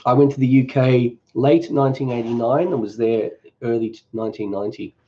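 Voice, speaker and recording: A middle-aged Australian man hosting a cooking channel, reading and answering viewer questions in a relaxed, rambling, joking way during a live stream. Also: medium pitch, fairly quick, lo-fi sound.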